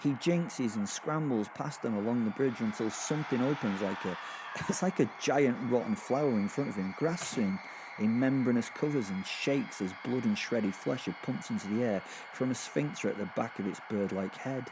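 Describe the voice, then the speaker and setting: Over clearly noisy ambience comes a man acting as a male werewolf with a mid-pitched voice and a cruel streak. He narrates horror in a chatty, wandering way, hesitating over his words and treating the grisly with gleeful, offhand understatement. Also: normal speed, lightly acted. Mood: thrilled. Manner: conversational, rambling, hesitant, darkly amused understatement. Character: gleeful, sadistic male werewolf killer marvelling at Hell. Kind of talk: first-person horror audio drama narration.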